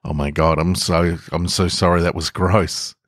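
A man voicing a shy, awkward adolescent boy at a school dance, talking in fumbling, self-conscious, halting phrases. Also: sits low, flat delivery, no room sound, clean studio sound, natural voice. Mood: apologetic.

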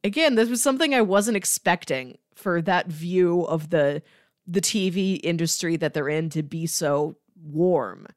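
A woman's voice: on the low side, measured pace, no room sound, clean studio sound. A woman co-hosting a podcast, talking casually and conversationally about books. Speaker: podcast co-host. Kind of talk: casual book discussion podcast.

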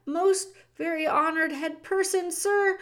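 A woman's voice: very high voice, steady tone, slow, fairly bright tone, voice pushed up, heavily acted. A woman voicing a small, brave male mouse who speaks politely and pleads urgently.

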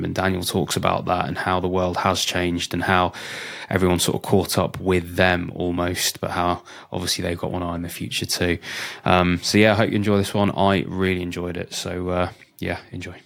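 A man's voice: low-pitched, gravelly. A man hosting a health tech podcast, introducing his guest in a chatty, enthusiastic way with frequent ums and restarts. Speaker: podcast host.

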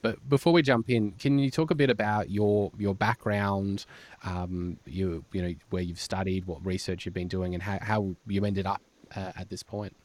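Low-voiced male podcast host, halting delivery. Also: fairly even intonation. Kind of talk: enthusiastic conversational interview.

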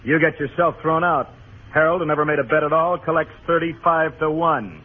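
An actor playing a world-weary, sardonic U.S. marshal in a noir radio Western. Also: male voice, a little high, fairly quick, natural voice. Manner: terse, sardonic. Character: hard-boiled, world-weary Western United States marshal. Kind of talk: noir radio drama narration and dialogue. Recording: tinny old radio recording.

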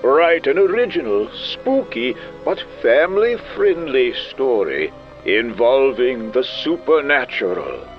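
Dramatic, drawn-out horror host man. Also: mid-range voice. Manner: spooky, drawn-out, dramatic delivery. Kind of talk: theatrical scripted horror-show announcement. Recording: dull lo-fi radio-style sound with music. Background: faint music.

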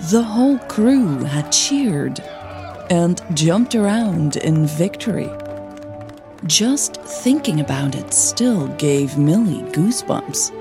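Woman, podcast storyteller, unhurried pace, soft dark tone, creaky low voice. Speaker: podcast host and audio fiction narrator. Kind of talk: podcast announcements and story narration.

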